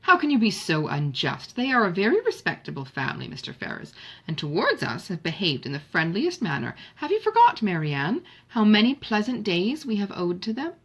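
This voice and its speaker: Woman acting storybook elder sister, young, sensible, composed, reproving, formal, expressive pitch, faint huskiness. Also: fairly quick, husky, exaggerated voice.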